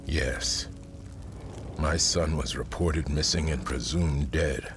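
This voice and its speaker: An actor playing a cautious, guilt-ridden older warrior and father in a video game, solemn and deliberate in manner. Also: extremely low pitch. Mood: sombre, resolute. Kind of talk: dramatic video game voice acting. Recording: loud music behind. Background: game score music.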